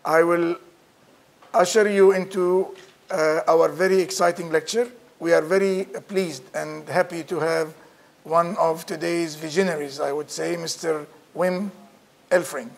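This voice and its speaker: A middle-aged man opens the event from a podium microphone in a lecture room. His voice sits a little high and carries a gravelly edge, and his delivery is formal with small restarts. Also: fairly even intonation, measured pace. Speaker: host introducing a speaker. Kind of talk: formal introduction.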